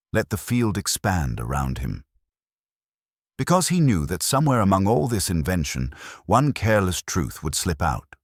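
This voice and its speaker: Guy narrating a mystery story, really low voice, dry close sound, measured and literary. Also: no room sound. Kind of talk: scripted radio mystery narration.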